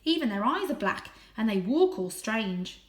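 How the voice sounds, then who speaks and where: A woman's voice: fairly high pitch, big pitch swings, normal speed, echoey room. A woman voicing a naive young male pedigree cat in a storybook, earnest and innocent with a touch of proud dignity. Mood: earnest, unsure.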